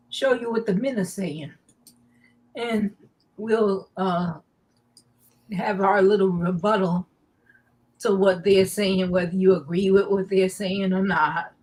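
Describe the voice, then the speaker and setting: A woman hosting a live online talk show, slow and halting with many fillers, her nose stuffy. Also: medium pitch, darkish tone, poor audio. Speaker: host.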